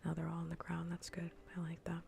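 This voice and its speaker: A woman whispering softly and breathily in an ASMR gaming stream, playfully bossy and dryly sarcastic, close to the microphone. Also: fairly low pitch, completely flat delivery, fast, gravelly, background noise. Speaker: livestreamer.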